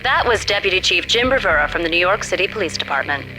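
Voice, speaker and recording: A woman's voice: lowish voice, animated, fast talker, over music, natural voice, poor audio. A woman playing a polished television news reporter, reading a formal bulletin in a video game. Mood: urgent, formal.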